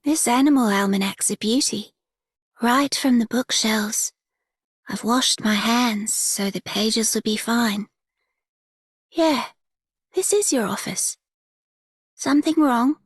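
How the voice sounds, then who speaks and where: Laid-back game girl, fake aussie accent w canadian bits, slow and husky. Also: a little high, no echo, clean studio sound. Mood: easygoing. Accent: put-on Australian with Canadian traces. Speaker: game character. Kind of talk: game voice acting.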